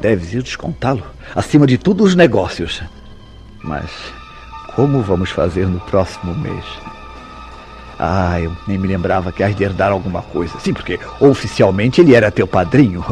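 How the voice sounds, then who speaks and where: A guilt-racked Brazilian killer in an old-style radio soap. His voice sits low, with passionate, formal and emphatic delivery that can turn bitter. Music plays behind him. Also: lively intonation, moderate pace. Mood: bitter sarcasm. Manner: theatrical, formal, emphatic diction. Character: tormented, guilt-ridden male killer, passionate, bitterly sarcastic lover. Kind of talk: old-fashioned melodramatic radio drama acting.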